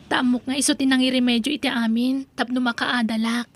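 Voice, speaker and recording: A young woman voicing a grateful student about to graduate who speaks gently and thankfully. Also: high-pitched, fairly even intonation, a little fast. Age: young adult. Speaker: voice actor. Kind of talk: audio drama acting.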